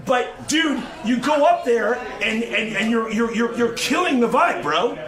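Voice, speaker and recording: A man's voice: very high-pitched, lively intonation, measured pace. A man in a heated casual argument, insistent and pleading, repeating himself. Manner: insistent, repetitive, pleading, says "bro" and "dude". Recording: echoey room, loud crowd noise. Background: loud crowd.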